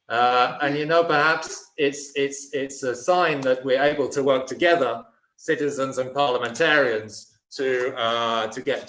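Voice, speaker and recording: A man giving a relaxed, conversational speech at a conference. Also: mid-range voice. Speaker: conference speaker. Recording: echoey room.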